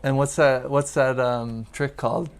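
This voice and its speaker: Creaky-voiced man hosting casual banter. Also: mid-range voice, level tone, measured pace. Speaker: podcast host. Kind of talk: casual chat, joking banter.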